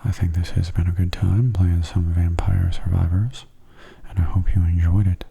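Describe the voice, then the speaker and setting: A man giving soft-spoken ASMR video game commentary in a breathy, hushed, often half-whispered voice, gentle and understated. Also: low voice, level tone, moderate pace.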